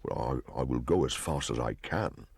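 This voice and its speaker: Guy as a worried big storybook bear, very low and gravelly, earnest. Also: normal speed, darkish tone, no echo, heavily acted. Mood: anxious. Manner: anxious, earnest. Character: anxious, lumbering, serious male bear.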